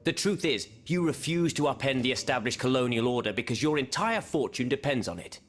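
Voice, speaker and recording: A man's voice: mid-range voice, lively intonation, a little fast, a little hiss or hum, close to an everyday voice. A man playing a stern, accusing French revolutionary idealist in a period game drama, challenging others formally and rhetorically.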